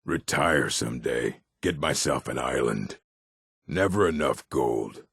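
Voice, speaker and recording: A muttering, gravelly orc outlaw. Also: male voice, very deep voice, animated, unhurried, a bit bright, no room sound, studio quality, exaggerated voice. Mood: brooding. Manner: muttering, brooding. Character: weary, brooding orc outlaw muttering to himself. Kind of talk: video game character acting.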